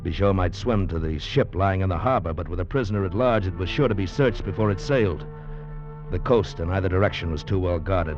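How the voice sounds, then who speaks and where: A low, grave male voice from an actor plays a convict recounting a penal-colony tale. The delivery is measured and dramatic in old radio-drama fashion. It comes through a dull vintage recording with prominent music behind it. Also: natural voice. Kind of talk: 1940s radio drama narration and acting.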